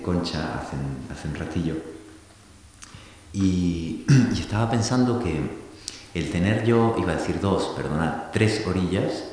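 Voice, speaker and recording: A middle-aged man from Argentina giving a reflective public talk, thoughtful and halting, often restarting his sentences. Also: low voice, darkish tone. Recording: echoey hall recording from a distance with faint hiss.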